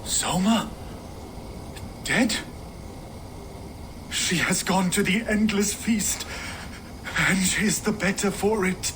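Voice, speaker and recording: An actor playing a boastful, triumphant Norse warlord in a game, speaking in a proud, declamatory way. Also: male voice, high-pitched, fairly even intonation, slow pace, pauses often, background noise. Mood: grim. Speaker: Viking jarl Guthrum.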